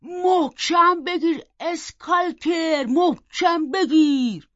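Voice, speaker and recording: A woman voicing a gruff, suspicious manservant in an audiobook, loud, barking and accusing. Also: high-pitched, no room sound, dull audio. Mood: shouting. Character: gruff, suspicious manservant shouting alarms to his master. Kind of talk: dramatic audiobook character.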